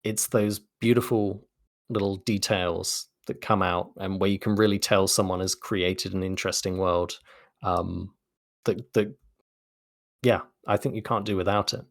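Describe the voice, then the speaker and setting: Low-voiced man explaining in interview. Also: fairly even intonation, unhurried, no echo, clean studio sound. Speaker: interview guest, game narrative designer. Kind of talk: thoughtful interview conversation.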